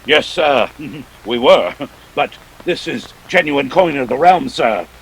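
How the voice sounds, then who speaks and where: Guy doing old-time radio villain boss, gravelly n husky, flowery polite talk, jolly but menacing. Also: medium pitch, animated, takes it slowly, full character voice, low-quality recording. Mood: jovial, smooth. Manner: florid, genteel, chuckling. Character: jovial, menacing older male crime boss, smooth and calculating. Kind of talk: 1940s radio drama acting.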